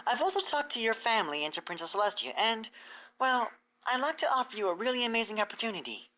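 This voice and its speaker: A woman voicing an eager, excitable, bookish female pony scholar in a story reading, earnest and gushing as she explains. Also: fairly high pitch, fast, voice pushed up, low-quality recording. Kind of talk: fan fiction character reading.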